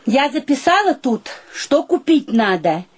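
A woman's voice: high-pitched, very animated, unhurried. An actress playing a simple, proud, practical girl in a radio play, plain-spoken and a little prim. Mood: matter-of-fact. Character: simple, proud, practical girl, easily wounded, primly coy. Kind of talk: theatrical radio play acting.

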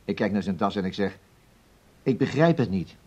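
Bewildered radio drama businessman: husky, animated, stammering, slightly muffled recording. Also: fairly low pitch, moderate pace. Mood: agitated, bewildered. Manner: stammering, repetitive, excitable. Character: flustered, bewildered businessman recounting strange events. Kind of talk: Dutch radio play acting. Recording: dull radio recording, music behind.

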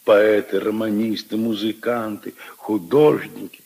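A man's voice: lowish voice, little pitch movement, a little slow, gravelly, darkish tone, husky, heavily acted. An older man playing a kindly, opinionated old grandfather who muses wistfully and emphatically in a 1950s radio drama. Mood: wistful, earnest. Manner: ruminative, emphatic, intimate storytelling to his granddaughter. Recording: 1950s radio play recording.

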